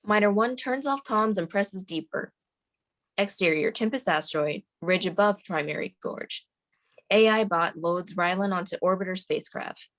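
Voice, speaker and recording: A woman's voice: medium pitch, moderate pace, fairly bright tone. A woman reading scripted stage directions aloud as the narrator of a screenplay table read.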